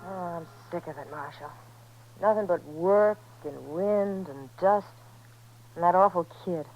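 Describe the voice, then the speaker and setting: A woman's voice: lowish voice, unhurried, highs cut off, slight background noise. A woman playing a young, hard-edged, world-weary ranch wife in a 1950s radio Western, folksy and evasive. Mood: bitter. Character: young, restless, hard-edged ranch wife, former dance-hall girl.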